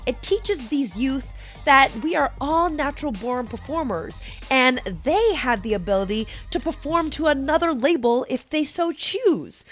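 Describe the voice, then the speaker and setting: A young American woman speaks in a fairly high voice over music. She delivers a prepared motivational talk, explaining her points and putting them straight to the listener. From United States, small town in Colorado. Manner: direct address to listener, explanatory. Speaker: podcast host.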